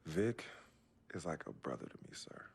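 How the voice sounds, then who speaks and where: An actor playing a loyal young male athlete from a rough neighborhood in a video game, talking in a casual, slangy, straightforward way. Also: extremely low pitch, big pitch swings, normal speed, full character voice. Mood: defensive, earnest. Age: young adult. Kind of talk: naturalistic game cutscene dialogue.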